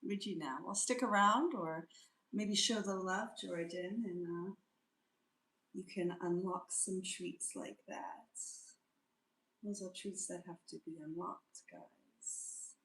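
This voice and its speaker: A woman's voice: lowish voice, slow pace, fairly bright tone, roomy sound, low-quality recording. A woman hosting a casual livestream, greeting viewers by name and humming little sing-song tunes between remarks. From South Africa. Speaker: livestream host.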